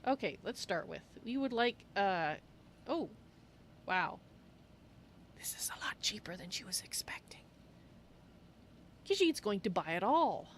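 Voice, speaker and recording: A woman's voice: medium pitch, big pitch swings, measured pace, long pauses, slight background noise. A woman roleplaying a polite, slightly befuddled female cat-folk adventurer in a video game, speaking of herself in third person. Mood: musing, polite.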